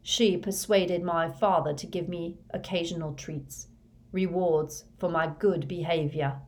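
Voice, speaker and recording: A lowish-voiced, dazed fanatical servant, played by a woman reading an audiobook. He confesses emptily, in a flat and expressionless drone, as if drugged. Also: measured pace, natural voice. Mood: dazed, emotionless. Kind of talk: audiobook character voice.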